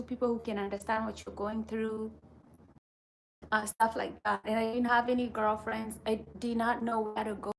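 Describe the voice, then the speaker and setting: A woman with a soft voice, chatting casually in a rambling way that circles back over phrases. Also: fairly high pitch, creaky, a little hiss or hum, low-quality recording. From Kenya. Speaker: vlogger chatting with viewers. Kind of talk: casual chat.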